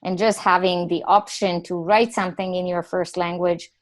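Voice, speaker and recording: A woman's voice: on the low side, steady tone, moderate pace. A woman whose first language is Hungarian, chatting casually as an interviewer. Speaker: interviewer, educator.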